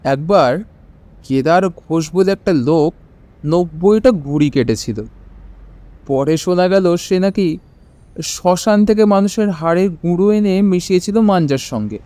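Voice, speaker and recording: Radio drama: older male local, nostalgic, wistful storyteller, fairly high but mellow. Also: animated, measured pace. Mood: reminiscing. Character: Abinashbabu, nostalgic older neighbourhood gentleman reminiscing. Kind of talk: radio audio-story acting, reminiscing.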